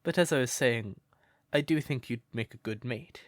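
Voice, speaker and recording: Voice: medium pitch, moderate pace, dry recording, studio quality, natural voice. A performer voicing a shy, smitten crow turned human in an intimate comfort roleplay, hesitant and stammering, naively earnest.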